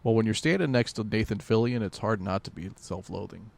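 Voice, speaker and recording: A man chatting casually as a podcast co-host, with a deadpan, self-deprecating manner. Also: fairly low pitch, brisk, creaky. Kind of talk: casual chat.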